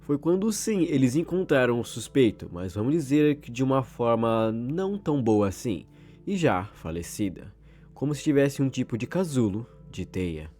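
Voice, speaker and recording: A man's voice: mid-range voice, sing-song, moderate pace, mellow. A man with a Brazilian accent doing informal video narration as a channel host, retelling and commenting on horror stories in a conversational way. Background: background music.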